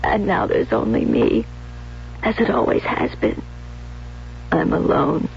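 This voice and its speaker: A woman's voice: low voice, big pitch swings, takes it slowly, gravelly, background noise, full character voice. An actress playing a jealous, unstable young woman in a 1970s radio drama, intense and pleading, on a dull vintage recording. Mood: desolate, resolved. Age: young adult. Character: jealous, obsessive, unstable young bride-to-be turned killer.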